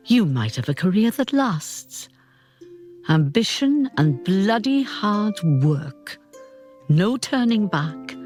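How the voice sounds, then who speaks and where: A woman's voice: lowish voice, darkish tone. An actress playing a brash, proud, faded singing star in her late sixties, reminiscing theatrically and earthily in a radio play. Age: older.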